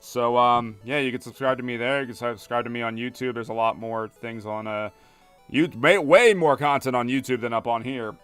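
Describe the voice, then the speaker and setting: A man's voice: medium pitch, fairly even intonation, moderate pace, fairly bright tone, clear, resonant tone. A young man live-streaming a game, rambling casually and joking with his chat. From United States. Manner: rambling, talkative, many fillers, reads chat aloud and jokes. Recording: music playing behind.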